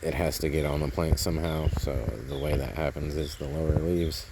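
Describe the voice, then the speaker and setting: A man's voice: a bass voice, little pitch movement, fairly quick, noisy background. A man casually narrating hands-on garden work outdoors, rambling and thinking aloud as he goes, recorded on a handheld camera. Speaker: gardening vlogger.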